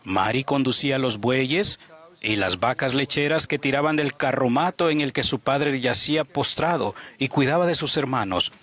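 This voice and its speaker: Brief: male interpreter, middle pitch, earnest story-led sermon. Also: lively intonation, normal speed, a bit bright.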